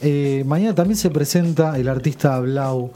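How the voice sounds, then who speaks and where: A man's voice: a little high, moderate pace, dark tone. A man with an Argentine accent co-hosting a casual interview, joking and eager with praise. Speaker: co-host.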